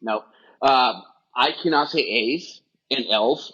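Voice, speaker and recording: A man's voice: medium pitch, sharp tone, breathy edge. A middle-aged man, a guest on a podcast, talking in long, rambling run-on stretches in casual conversation. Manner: rapid, rambling run-on talk. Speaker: guest, firefighter rehab specialist. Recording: dull lo-fi audio.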